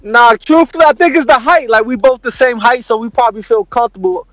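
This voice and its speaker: Brash high-voiced fighter from New York. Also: male voice, quick pace, a bit bright, soft background music. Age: 40s. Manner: brash, slangy trash talk. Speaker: caller, fighter. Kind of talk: casual call-in chat.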